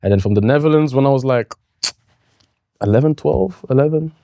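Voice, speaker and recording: A man's voice: mid-range voice, brisk, no echo, studio quality. A young man from Tanzania, a guest on an interview show, talking casually with lots of fillers and repeated phrases. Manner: relaxed, repeats himself, many likes and fillers. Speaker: guest, comedian and streamer. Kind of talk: casual conversation.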